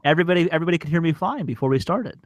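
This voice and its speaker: Wry man with swooping, slightly high voice. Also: expressive, very fast, no room sound, dull audio. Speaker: podcast cast member. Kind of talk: casual video-call chat. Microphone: internet video call.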